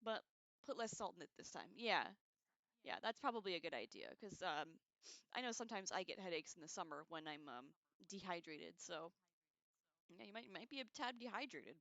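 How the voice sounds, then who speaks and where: A woman hosting a casual livestream, chatting to viewers in an excitable, giggly way with loose, profane slang. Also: lowish voice, moderate pace, gravelly, dry recording. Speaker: livestream host. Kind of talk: casual chat to live viewers.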